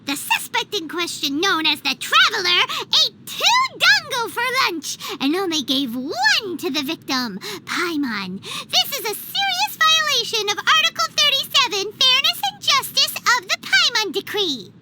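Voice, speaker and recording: A tiny fairy sidekick from a video game, squeaky and childlike. The pitch is extremely high and leaps around constantly, bubbly and excitable. There is heavy noise behind the voice. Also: female voice, unhurried, few pauses, full character voice. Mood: pompous, indignant. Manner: bubbly, refers to herself in third person.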